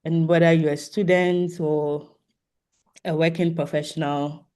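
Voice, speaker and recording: Online meeting host: soft mellow tone, polite and inviting, informal call moderation. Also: mid-range voice, level tone, measured pace, smooth. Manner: polite, inviting participants to introduce themselves. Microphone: video call microphone.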